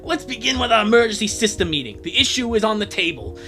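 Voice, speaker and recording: A performer voicing a bossy cartoon child running a meeting in a fan dub, loud and commanding. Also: medium pitch, big pitch swings, quick pace, soft background music, voice pushed up.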